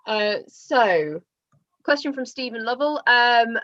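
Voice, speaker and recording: A woman hosting an online book event, teasing and lively as she reads out audience questions over a video call. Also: fairly high pitch, slow pace, no echo, dull audio. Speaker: interviewer and host.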